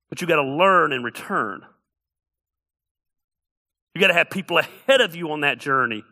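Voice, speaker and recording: Middle-aged pastor guy preaching, high bright voice, punchy and repeats himself for effect. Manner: emphatic, repeats key phrases, rises to loud peaks. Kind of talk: sermon.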